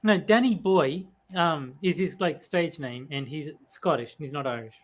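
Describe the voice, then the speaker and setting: A woman's voice: low-pitched, unhurried. A woman telling a story in a casual podcast chat, rambling with frequent restarts and fillers. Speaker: podcast co-host.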